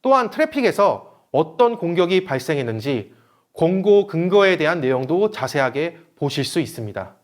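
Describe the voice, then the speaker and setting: A man's voice: fairly high pitch, very animated, normal speed. A man giving a scripted webinar presentation in a formal, explanatory manner. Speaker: presenter, company manager.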